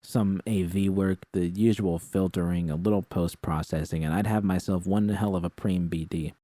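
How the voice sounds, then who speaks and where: A man's voice: deep voice, fairly even intonation, moderate pace, dark tone, dry recording. A man reading scripted first-person fiction as an audiobook narrator.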